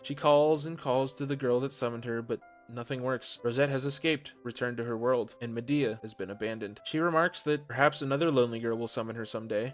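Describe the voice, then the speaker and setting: A man tells a story as a video creator, his voice sitting at an average pitch. His delivery is scripted and dramatic, loosened by casual, joking asides. Light music plays behind him. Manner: dramatic in retelling, jokey in asides. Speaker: video creator retelling and commenting on a visual novel. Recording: music under the voice.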